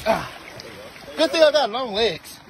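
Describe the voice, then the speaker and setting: A high-voiced man vlogs from a trail in a casual, playful way. His delivery swings up and down with exclamations. Clear outdoor noise of water runs behind him on the phone recording. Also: sing-song. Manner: playful, exclamatory, folksy "y'all". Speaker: vlogger filming a hike. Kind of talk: casual trail vlog chat addressed to viewers. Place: outdoors on a canyon trail by a stream. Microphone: handheld phone camera. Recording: noisy outdoor phone audio, rushing stream.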